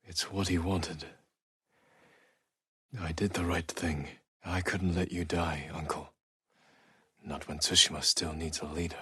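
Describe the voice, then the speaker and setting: An actor playing a stoic, honorable samurai warrior in a video game, with restrained, solemn, reflective delivery and a soft-edged husky voice. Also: male voice, sits low, flat delivery, measured pace, pauses often, dry recording, clean studio sound, exaggerated voice. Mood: grieving, resolute. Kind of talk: dramatic video game acting, reflective monologue.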